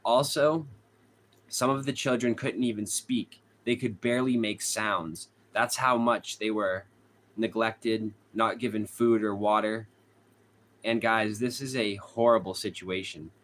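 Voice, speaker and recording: Man, Boston accent, low voice, chatty livestream host, faint fan hum behind. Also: steady tone, a little slow, fairly bright tone. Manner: chatty, reads viewer comments aloud, laughs often. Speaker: true crime channel host. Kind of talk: casual livestream chat. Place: hot room at home.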